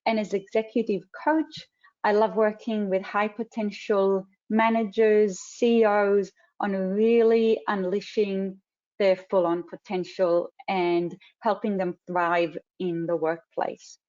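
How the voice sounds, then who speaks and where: Israeli-accented woman in her forties, webinar host, measured delivery with fillers, slightly dull call audio. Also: mid-range voice, flat delivery, a little mellow. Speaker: webinar host and career coach. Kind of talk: webinar introduction. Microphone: computer mic on a video call.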